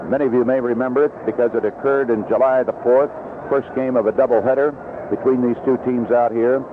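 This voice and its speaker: A thin, narrow-band vintage radio recording with clear noise carries an American man announcing baseball. He talks at a medium pitch in a conversational 1970s play-by-play style. His delivery is packed with figures and records. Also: fairly even intonation, brisk. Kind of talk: 1970s radio sports commentary. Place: outdoor ballpark broadcast.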